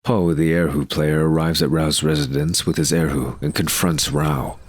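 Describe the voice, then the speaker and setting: A man's voice: extremely low pitch, level tone, moderate pace. A man narrating a dramatic serial from a script.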